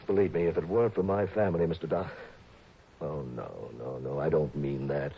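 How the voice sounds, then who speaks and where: An actor playing an anxious middle-aged stockbroker who is being blackmailed, fretful, pleading and refined, in a 1960s radio drama. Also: male voice, very deep voice, normal speed, gravelly, husky, dull audio, exaggerated voice. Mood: desperate.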